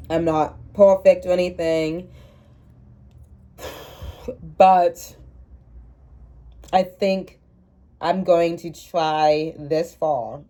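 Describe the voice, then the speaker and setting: A woman's voice: sits low, dark tone. A young woman in her twenties with a stuffy, congested voice, chatting candidly and rambling to her phone camera, laughing at herself. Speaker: vlogger. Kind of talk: casual confessional chat to camera.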